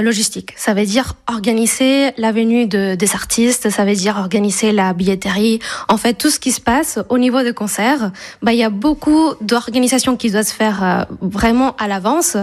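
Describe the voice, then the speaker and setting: A young woman from Spain with a slight Spanish accent, answering radio interview questions in a hesitant way, with many fillers and restarts. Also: fairly high pitch. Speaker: festival production manager.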